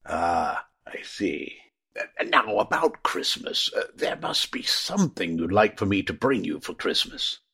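A man playing a kindly old Santa Claus in a radio play, gentle and courtly. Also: sits low, sing-song, unhurried, creaky, breathy edge, heavily acted. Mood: gently concerned. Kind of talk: 1940s-style radio play acting.

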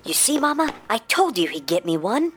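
Woman as an eager, high-pitched little boy. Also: very animated, normal speed, a little hiss or hum. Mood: triumphant. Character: eager, excitable little boy begging for toys.

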